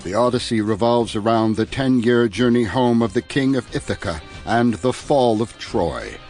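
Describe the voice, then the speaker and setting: A man reading a scripted literary introduction as an audiobook narrator. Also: mid-range voice.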